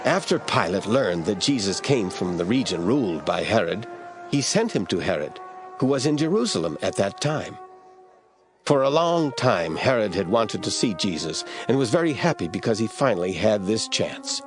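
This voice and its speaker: A man narrating scripture in a radio drama, reading in a steady, measured way. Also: fairly low pitch, music in the background.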